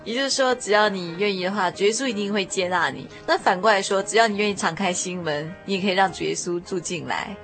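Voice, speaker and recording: A woman's voice: medium pitch, fast talker, few pauses, fairly bright tone. Woman, radio host, conversational, mid-range voice, faint music behind. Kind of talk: conversational interview talk.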